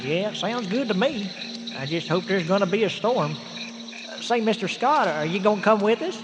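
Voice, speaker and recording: An actor playing a folksy, excitable stranded adventurer in a radio drama, with colloquial speech. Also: male voice, high-pitched, moderate pace. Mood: hopeful. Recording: noisy radio drama, long reverb, music behind.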